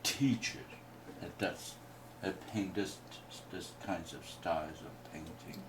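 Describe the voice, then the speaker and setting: A man whose first language is Mandarin, teaching a painting workshop in a halting way with many restarts. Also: low voice. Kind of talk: hesitant workshop explanation. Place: indoor workshop room. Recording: distant mic with faint noise.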